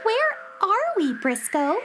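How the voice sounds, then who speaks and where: A woman's voice: very high-pitched, very animated, slow, music in the background, full character voice. A woman voicing a curious, wide-eyed little space cowgirl in a children's audio drama.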